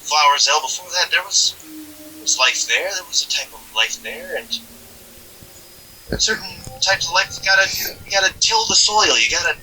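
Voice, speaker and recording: An actor playing an alien thing posing as a dead husband, coaxing in a halting, folksy, rambling way that repeats itself, in a horror drama. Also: male voice, a little high, expressive, pushed and bright, recorded from a distance, a little hiss or hum, poor audio. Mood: folksy, wistful.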